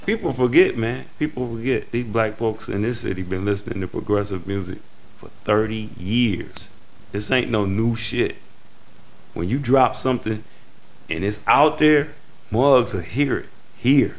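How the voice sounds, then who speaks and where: Low-voiced, blunt Detroit American man. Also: measured pace. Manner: blunt, slangy, profane. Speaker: music producer interviewee. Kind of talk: casual, unscripted interview.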